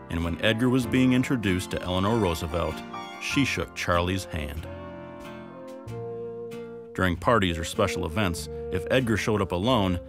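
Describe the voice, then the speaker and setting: Brief: steady low male narrator, scripted read, music underneath. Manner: even, measured reading. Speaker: documentary narrator. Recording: music bed behind.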